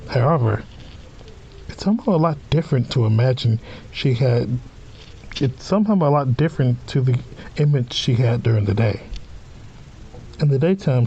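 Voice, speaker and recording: A man's voice: medium pitch, gravelly, mellow, muffled recording, slight background noise. A man reading a visual novel aloud as a let's play host, dropping in informal asides and reactions. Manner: informal asides between read-out lines. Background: game music.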